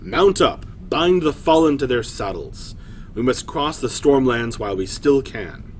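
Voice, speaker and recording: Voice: medium pitch, lively intonation, unhurried, slight background noise, close to an everyday voice. A man playing a noble warrior king in a fantasy story, speaking formally with gracious command. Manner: formal, declarative.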